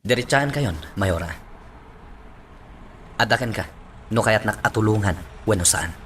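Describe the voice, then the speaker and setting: An actor playing an earnest, firm and direct police chief in an Ilocano radio drama. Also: male voice, lowish voice, expressive, moderate pace, a bit bright, slight background noise.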